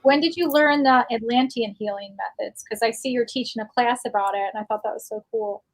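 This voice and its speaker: A woman's voice: on the high side, fairly quick, rough recording. A woman host asking questions in a casual, conversational interview.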